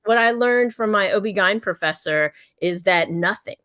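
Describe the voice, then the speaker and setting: A woman's voice: medium pitch, normal speed, a bit bright, no room sound, clean studio sound. A middle-aged woman doctor answering interview questions in a forthright, emphatic way, laughing between points. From United States. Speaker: doctor and company founder, guest.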